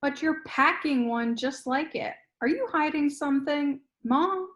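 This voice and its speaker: A woman's voice: high-pitched, expressive, measured pace, a bit bright. A woman playing a wary, questioning teenage superhero daughter in an amateur table read. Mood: suspicious.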